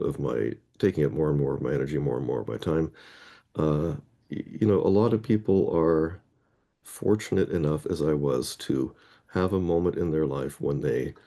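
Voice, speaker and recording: Very deep-voiced man telling a rambling story. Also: creaky, mellow. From Canada (Toronto). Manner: rambling, anecdotal, often rephrasing mid-sentence. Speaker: guest, head of a Scrabble players association. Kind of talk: casual interview storytelling.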